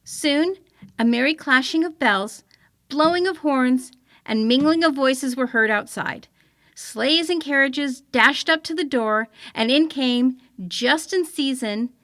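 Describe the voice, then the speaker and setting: High-pitched woman reading a story aloud. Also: fairly bright tone, dry recording, clean studio sound. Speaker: story reader. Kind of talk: expressive storybook read-aloud.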